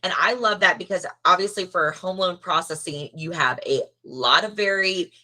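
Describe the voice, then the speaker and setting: A woman recruiter running a video job interview, upbeat and quick to praise with "great" and "fantastic". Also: lowish voice, pushed and bright. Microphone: video call.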